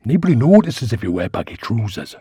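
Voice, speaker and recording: A man voicing a chatty, insistent oddball worm-like butler in a radio sitcom, with a raspy British voice. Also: medium pitch, sing-song, a little fast, dark tone. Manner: chatty, insistent, over-familiar. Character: talking worm and shambling butler, pestering oddball. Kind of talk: comedy radio sitcom acting.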